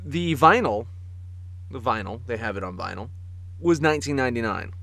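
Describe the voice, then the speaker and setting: A man co-hosting a podcast in casual comic chat, telling stories in an animated way and chuckling as he talks. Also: mid-range voice, normal speed, vocal fry. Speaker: podcast co-host.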